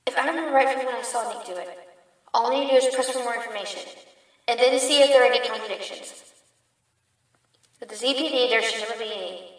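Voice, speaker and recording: A woman's voice: fairly high pitch, fast, pauses often, natural voice. Roomy, earnest young rabbit attorney played by a woman. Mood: nervous resolve. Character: earnest young female rabbit defense attorney, anxious but feisty. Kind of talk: audio drama acting with inner monologue. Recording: roomy, distant mic, faint music.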